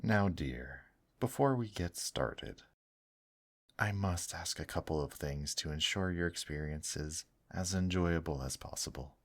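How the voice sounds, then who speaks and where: Man playing a very deep, slow, suave demon host. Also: lively intonation, exaggerated voice. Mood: courteous, gently teasing. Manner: slow, courtly, teasing, with pet names like dear. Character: suave male demon host of a monster brothel, gently teasing. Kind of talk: intimate ASMR roleplay, speaking to the listener.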